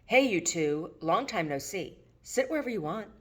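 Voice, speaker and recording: A woman's voice: mid-range voice, lively intonation, moderate pace, fairly bright tone, breathy edge, voice pushed up. A woman reading the lines of a friendly, familiar diner server woman in a novel. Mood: welcoming. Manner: casual, welcoming. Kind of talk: acted dialogue in a novel reading.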